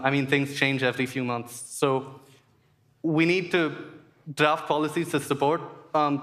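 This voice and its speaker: A young man answering at length as a panel guest, earnest and measured with frequent fillers. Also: medium pitch, a bit bright. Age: young adult. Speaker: essay competition finalist.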